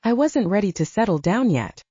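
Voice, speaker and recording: Audiobook role: calm, wry wolf-shifter man read by a woman, relaxed timbre, expressive intonation. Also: mid-range voice, normal speed, husky, no room sound, highs cut off. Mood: wry, sincere. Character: calm, wry male werewolf, settled and sincere.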